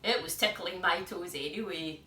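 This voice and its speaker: A woman's voice: fairly low pitch, moderate pace, sharp tone. A woman voicing a kind, cheerful giant in a children's storybook, with an exclaiming, sing-song manner. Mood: cheerful, reassuring. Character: kind, cheerful giant who sings to himself. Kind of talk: children's storybook read-aloud. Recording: echoey room, distant mic, music behind.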